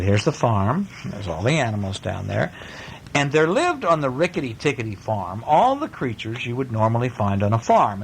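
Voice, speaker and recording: A man reading a storybook aloud to children in a playful, animated way, with asides to his young listeners. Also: medium pitch, a little hiss or hum, low-quality recording. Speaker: parent reading a bedtime story.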